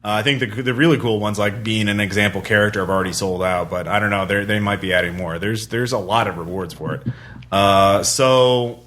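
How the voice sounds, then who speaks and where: A man hosting a podcast in casual chat, giving announcements in a rambling, halting way full of asides. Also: on the low side, fairly even intonation, fairly bright tone. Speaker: podcast host. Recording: clear background noise.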